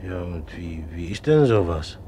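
An actor in a 1950s German radio play, playing a sceptical, domineering young merchant's son, gruff and impatient, repeating words curtly. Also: male voice, deep voice, animated, moderate pace. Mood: probing. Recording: faintly noisy 1950s radio recording.

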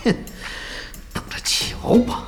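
A man's voice: takes it slowly, a little hiss or hum, lightly acted. A man playing a scheming, arrogant captain of a gaming team in an audio drama, sneering and commanding. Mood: cold command. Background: music.

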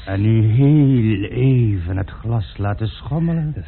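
A man's voice: lowish voice, animated, slow, gravelly, a little hiss or hum, heavily acted. A man playing a fawning, hapless male servant and cook in a radio drama, obsequious and always calling his master monsieur. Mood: coaxing. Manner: obsequious, says monsieur constantly. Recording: muffled phone-like old radio recording.

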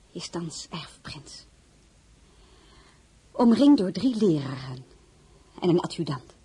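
An actress playing a proud, principled foreign-born crown princess in a radio drama, speaking with formal, deliberate dignity. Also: female voice, on the low side, darkish tone, heavily acted. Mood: bitter, restrained. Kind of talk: dramatized novel, radio play acting. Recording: clearly noisy recording.

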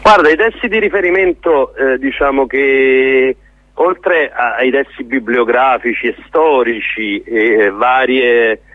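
A man interviewed by telephone, heard through a narrow, dry line. He talks earnestly as a theatre director, often pausing and rephrasing while he looks for the right word. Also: medium pitch, no echo. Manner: hesitant, searching for words, earnest. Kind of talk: spontaneous radio interview. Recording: narrow tinny phone line.

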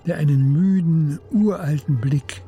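A man's voice: fairly high pitch, a little slow, warm tone, soft background music. A man with pronunciation reading literary narration for a radio drama.

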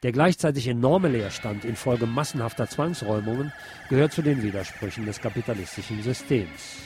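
A man's voice: mid-range voice, brisk, over loud music. A man hosting a radio programme, reading a scripted political commentary. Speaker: radio host.

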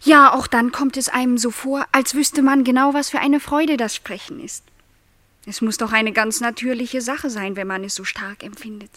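An actress playing a lonely young housemaid in a literary radio play, speaking earnestly, politely and with some hesitation. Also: female voice, on the high side, steady tone, moderate pace, pushed and bright, no echo. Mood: shy, earnest. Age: young adult.

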